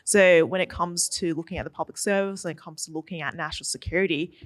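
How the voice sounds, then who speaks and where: An Australian woman in her young adult years speaks on a discussion panel. Her delivery is earnest and articulate, with the odd "um", and the sound is dry and close with little room echo. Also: low-pitched. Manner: earnest, articulate, uses fillers.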